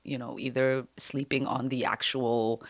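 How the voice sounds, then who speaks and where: Woman, very deep voice, interview guest, reflective, lots of ums, dry studio sound. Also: level tone, unhurried, no room sound. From Somalia. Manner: thoughtful, many fillers, soft laughs. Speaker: guest congresswoman. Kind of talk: conversational interview.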